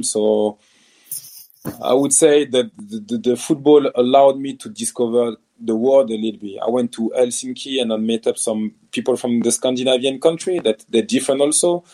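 A man's voice: fairly low pitch, dark tone. A man from France with a French accent, a former professional footballer answering interview questions in a hesitant way, with many restarts and fillers.

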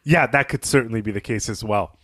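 A man's voice: on the low side, a little fast, fairly bright tone, no room sound, studio quality. A man co-hosting a podcast, talking through a story in a thoughtful, analytical and articulate conversational way. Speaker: podcast co-host. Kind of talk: conversational literary discussion.

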